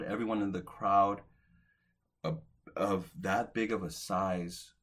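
Man, 40s, pastor mid-sermon; deep husky voice, earnest, builds emphasis and then softens. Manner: earnest, swells to emphatic lifts, then drops soft at phrase ends.

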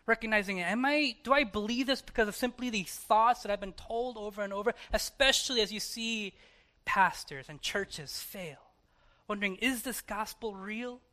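A pastor preaching a sermon emphatically, moving between hushed and forceful delivery, with repetition and rhetorical questions. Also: medium pitch, normal speed.

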